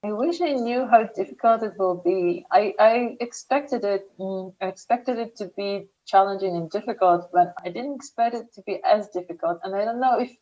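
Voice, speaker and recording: A young woman with an Eastern European accent, a startup founder answering interview questions slowly and carefully, often restarting her sentences. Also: mid-range voice, mellow, dull audio. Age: young adult.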